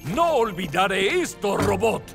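A man playing Santa Claus as a big, kindly older figure with a gravelly voice in a dubbed cartoon. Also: high voice, big pitch swings, measured pace, heavily acted. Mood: grateful. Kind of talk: animated cartoon dub. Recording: loud music underneath.

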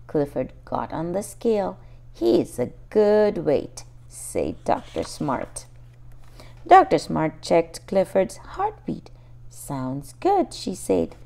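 A woman's voice: mid-range voice, vocal fry, mellow. A woman reading a picture book aloud for young children, expressively and speaking directly to the little listeners. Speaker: children's storybook reader and host.

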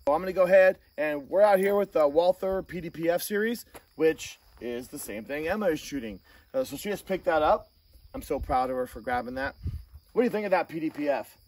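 A man's voice: fairly high pitch, lively intonation, a little fast, a little mellow. Distant, casual male gear reviewer outdoors. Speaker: host of a gear review channel. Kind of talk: casual hands-on product review talk. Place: outdoor shooting range. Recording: distant mic, outdoor noise, insect chirps.

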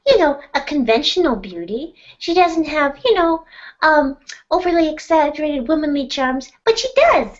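A sugary, overly polite young woman, put on by a woman in a comedic interview bit. Her voice sits very high and girlish. The recording sounds dull and lo-fi.

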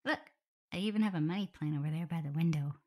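A woman playing a gentle, playful omega werewolf in an ASMR audio roleplay, talking softly, soothingly and a little hesitantly as she looks after her partner. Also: deep voice, fast talker. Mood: chatty, playful. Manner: soft, soothing, hesitant, giggly. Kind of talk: soft ASMR roleplay, intimate one-sided dialogue.

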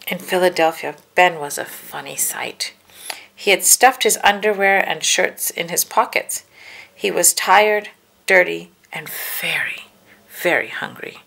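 A woman reading a children's storybook aloud, with careful, deliberate phrasing for young listeners. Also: lowish voice, gravelly, fairly bright tone. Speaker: children's book reader. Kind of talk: read-aloud storybook narration.

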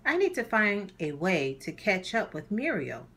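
Lady doing a little storybook dino boy, earnest and wishful, slow talker, voice jumps around. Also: medium pitch, very animated, fairly bright tone, breathy edge, exaggerated voice. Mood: pondering. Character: small male dinosaur, childlike, eager, longing to grow.

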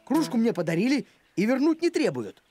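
Animated, naive cartoon dog voiced by an actor. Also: medium pitch, a little fast, natural voice. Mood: naive, proud. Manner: naive, boastful, excitable. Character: naive, simple-hearted, excitable male talking dog. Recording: loud music behind the voice.

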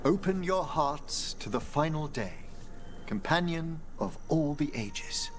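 A man's voice: a little high, expressive, slow, background noise. A man playing a courteous, scholarly middle-aged necromancer in a video game, with formal, precise speech. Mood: solemn.

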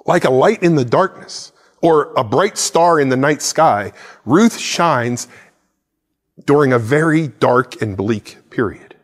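A man's voice: mid-range voice, animated, takes it slowly, big-room sound. A man in his forties preaching a sermon to camera from a church stage, gesturing with a Bible in hand. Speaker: pastor. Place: church stage set with plants and a cross.

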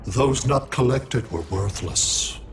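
A man's voice: medium pitch, expressive, slow pace, a little hiss or hum. A man playing a cold, arrogant alien android villain in a video game, detached, precise and imperious. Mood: clinical disdain. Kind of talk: video game character acting. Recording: music under the voice.

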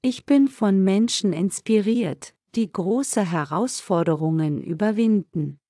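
A woman slowly reading German example sentences for learners with careful, measured delivery. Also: medium pitch, warm tone, no room sound, clean studio sound. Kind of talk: slow, clear model sentences for learners.

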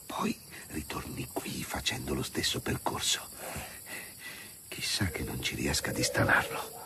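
An actor playing a shrewd, authoritative police commissioner in a radio drama, deliberate and courteous. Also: male voice, very deep voice, fairly even intonation, vocal fry, in a whisper, heavily acted. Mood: hushed, commanding. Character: shrewd, commanding male police commissioner on a case. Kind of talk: Italian radio drama acting.

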